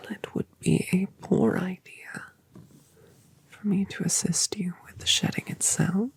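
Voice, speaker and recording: A woman's voice: on the low side, steady tone, a little slow. Woman whispering as a doting mother dragoness. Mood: tender. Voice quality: soft whisper, breathy. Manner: cooing, affectionate, asks gentle questions. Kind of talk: whispered ASMR roleplay.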